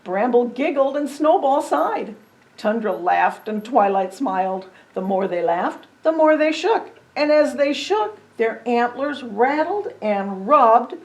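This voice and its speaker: A woman reading a children's story aloud in an expressive, dramatic storytelling manner. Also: fairly high pitch, takes it slowly. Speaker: storybook reader. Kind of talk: children's story read-aloud with chatty asides.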